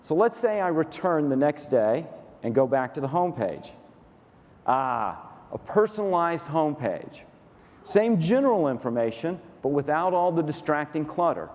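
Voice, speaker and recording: A man's voice: a little high, big pitch swings, unhurried, a little mellow. Dry, methodical Texan presenter man. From Texas, USA. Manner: dry, matter-of-fact, methodical walkthrough. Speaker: company COO demonstrating software. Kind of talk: staged product demo presentation. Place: conference stage in a large hall. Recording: reverberant conference hall.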